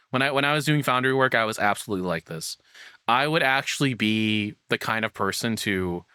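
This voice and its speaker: Bright, forceful man, dry close sound. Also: mid-range voice, no room sound. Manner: rambling, frank, swears casually. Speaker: content creator reacting to a video. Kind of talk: casual reaction commentary.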